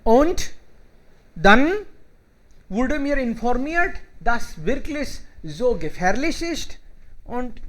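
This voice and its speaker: High-voiced man hosting a language chat. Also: a bit bright. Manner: simple, halting German with fillers, welcoming participants. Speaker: host of an online German conversation club. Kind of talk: casual group conversation in learner German.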